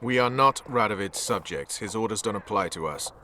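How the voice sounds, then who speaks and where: A man's voice: lowish voice, lively intonation, brisk, sharp tone, a little hiss or hum. A male actor playing a hard, vengeful special forces commander in a video game, speaking in a stern, blunt, resolute manner. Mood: firm. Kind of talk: dramatic video game acting.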